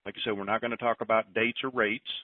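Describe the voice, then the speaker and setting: A man's voice: on the low side, flat delivery, fast talker. A man hosting an informal group call in a folksy, disorganized way, rambling through announcements and thinking aloud. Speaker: conference call host. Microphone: telephone. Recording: roomy, tinny phone conference line.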